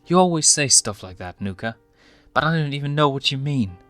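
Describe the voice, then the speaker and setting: A man's voice: mid-range voice, animated, a little fast, a bit bright, faint music behind, pitched above the performer's own voice, close to an everyday voice. A man voicing a frank, reluctant young lion cub, a younger brother with a plaintive, questioning manner, in a story reading. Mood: earnest, frustrated.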